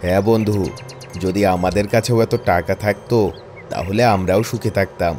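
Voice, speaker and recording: A man's voice: lowish voice, fairly even intonation, normal speed, darkish tone, soft background music, lightly acted. A man playing a poor, wistful young man who pleads, in scripted cartoon fable dialogue.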